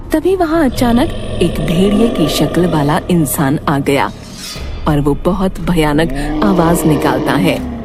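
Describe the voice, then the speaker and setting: Unhurried, expressive woman narrating a tale. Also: fairly low pitch. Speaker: story narrator. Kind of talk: dramatic scripted narration of a children's cartoon tale. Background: music.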